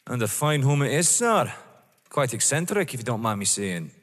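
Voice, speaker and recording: In a reverberant hall, a man plays a detective inspector in an audio drama. He is courteous and deferential but keeps probing, with measured phrasing and widely swinging intonation. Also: medium pitch, very animated, lightly acted. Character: polite, persistent male British police detective inspector.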